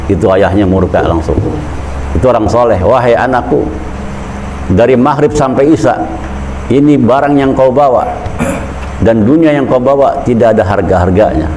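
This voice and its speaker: A man's voice: moderate pace, long pauses, mellow, a little hiss or hum, rough recording. A man giving an informal Islamic sermon in a conversational storytelling way, his voice climbing when he urges the listeners. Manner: conversational storytelling preaching, pitch rising when urging. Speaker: preacher.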